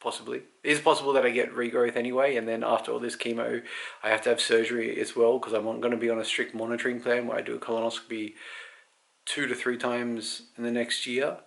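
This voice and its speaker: A man in his thirties talks off the cuff with plenty of vocal fry and a fairly flat melody. He rambles candidly, pausing to think aloud, in the manner of a personal video diary. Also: mid-range voice. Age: 30s. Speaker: vlogger. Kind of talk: casual unscripted personal health update.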